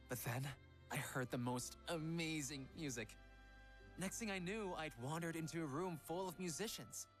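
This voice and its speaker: A man voicing a gentle, earnest young pop idol in a visual novel game, soft-spoken and a little hesitant. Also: on the high side, lightly acted. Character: gentle young male Korean pop idol, devoted fiancé.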